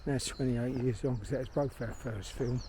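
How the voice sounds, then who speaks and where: A man's voice: mid-range voice, steady tone, moderate pace, mellow. Sweary middle-aged London man outdoors. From London, England. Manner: sweary, blunt, chatty. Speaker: vlogger, filmmaker. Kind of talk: rambling casual monologue while walking. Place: outdoors in a park. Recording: outdoor background noise. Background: birdsong and outdoor ambience.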